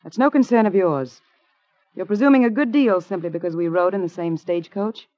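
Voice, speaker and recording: An American actress playing a guarded young saloon singer, polite but prickly, in an old radio western. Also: female voice, medium pitch, brisk, darkish tone, no room sound, close to an everyday voice. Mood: indignant. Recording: muffled, noisy vintage radio recording.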